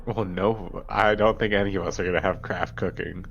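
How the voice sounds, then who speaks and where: A man's voice: low-pitched, fairly even intonation, moderate pace, vocal fry, highs cut off, slight background noise. A man chatting casually as a player in a tabletop game. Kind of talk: casual chat.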